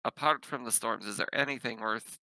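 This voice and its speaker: A man's voice: mid-range voice, a little fast, bright, forceful tone, dry recording, rough recording. A man playing a tabletop roleplaying game, talking casually in character as he leads a mission.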